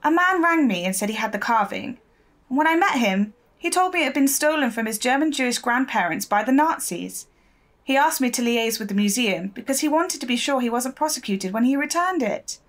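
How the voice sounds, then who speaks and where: An actress playing an earnest, stubborn, idealistic newspaper reporter in an audio drama, speaking defensively. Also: female voice, high voice, animated, brisk, a bit bright.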